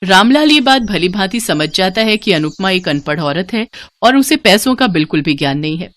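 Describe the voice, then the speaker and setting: A woman narrates a scripted moral story. Her voice sits at a middle pitch and the recording is dry, with no room sound. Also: big pitch swings, moderate pace, fairly bright tone, no echo.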